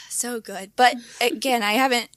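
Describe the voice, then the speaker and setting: A woman co-hosting a podcast in casual chat, with a hoarse voice and lots of filler words. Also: on the high side, fairly even intonation, measured pace, a bit bright, no room sound. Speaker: podcast co-host.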